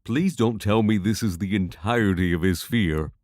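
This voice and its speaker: A man's voice: on the low side, moderate pace, darkish tone, no echo, studio quality, lightly acted. A man playing an anxious, skeptical adventurer in an audio drama, speaking in a hesitant, fretful way. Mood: uneasy.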